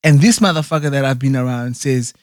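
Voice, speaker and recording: A man's voice: mid-range voice, a little fast, no echo, studio quality. A man in casual chat who rambles, restarts often and keeps checking in with "right?". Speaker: conversation partner.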